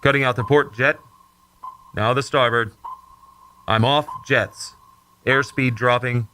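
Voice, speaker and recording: A man's voice: medium pitch, fairly even intonation, takes it slowly, pushed and bright. Guy doing rocket test pilot in a radio play, mid voice, short clipped callouts, noisy static behind him. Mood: focused, clipped. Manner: clipped technical reports. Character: bold, focused male rocket plane test pilot. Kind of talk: old-time radio drama acting.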